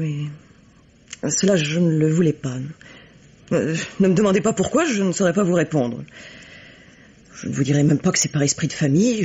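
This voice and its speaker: An actress playing a cynical, haughty bourgeois woman in a French radio mystery, speaking in a measured, articulate, coolly disdainful way. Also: deep voice, warm tone, slightly rough. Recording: dull vintage radio recording.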